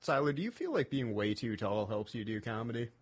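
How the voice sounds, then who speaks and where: A man's voice: mid-range voice, big pitch swings, a little fast, husky. A man hosting a casual interview, asking questions with dry, self-deprecating humor. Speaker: interview host.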